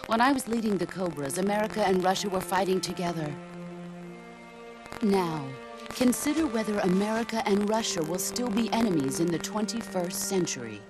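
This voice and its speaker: An actress playing a legendary middle-aged female soldier and mentor in a video game, speaking in a measured, grave, philosophical way. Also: medium pitch, close to an everyday voice. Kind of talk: dramatic video game cutscene monologue.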